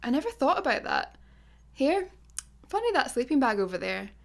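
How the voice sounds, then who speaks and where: Scottish female space worker, high pitch, very lively intonation, blunt, sardonic, radio comedy. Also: moderate pace, gravelly, exaggerated voice. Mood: casual. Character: blunt, exasperated young female satellite worker. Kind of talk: sci-fi comedy radio acting.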